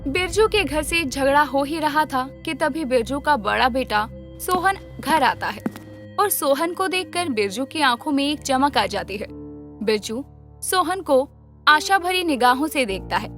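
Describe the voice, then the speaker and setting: A woman giving scripted storybook narration as the narrator of an animated tale. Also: high voice.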